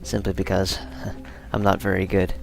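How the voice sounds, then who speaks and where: A man's voice: low-pitched, completely flat delivery, normal speed, creaky, rough recording. A man giving casual, self-deprecating commentary over a video game. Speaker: let's play host. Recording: music behind the voice. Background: video game music.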